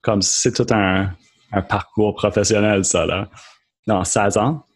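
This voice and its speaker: A man's voice: fairly low pitch, steady tone, slow pace, slightly rough. A man in his thirties from New Brunswick with an Acadian French accent, speaking as a podcast guest in a casual, earnest way with many restarts. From Moncton, New Brunswick, Canada. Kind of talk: casual conversational interview.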